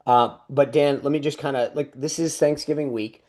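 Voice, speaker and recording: A middle-aged man co-hosting a casual political chat, talking loosely with many restarts and quick laughs. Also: mid-range voice, fairly even intonation, darkish tone. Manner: chatty, full of restarts and laughs. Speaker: podcast co-host.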